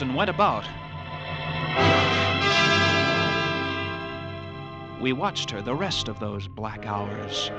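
Haunted lighthouse keeper played by a male actor, intense old radio suspense narration, medium pitch, loud dramatic music underneath. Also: close to an everyday voice. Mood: grim dread.

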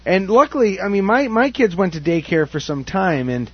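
A man's voice: high-pitched, very animated, normal speed, slightly rough, highs cut off. A man in his thirties hosting a casual radio talk show, easygoing and hesitant with fillers. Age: 30s. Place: radio studio.

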